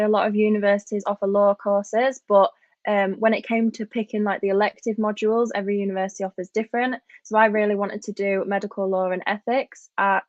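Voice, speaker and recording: A woman's voice: mid-range voice, dry recording. A young woman from Doncaster, talking casually as a student panellist. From Doncaster, England. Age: young adult. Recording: dull, lo-fi, dry audio.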